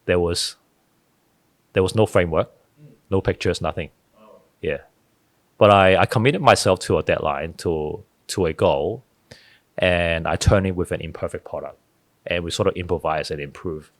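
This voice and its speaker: A man's voice: sits low, moderate pace, pauses often, no echo. A middle-aged man, a podcast guest telling stories earnestly, often restarting his sentences. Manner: earnest, storytelling, frequent restarts. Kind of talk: casual interview talk.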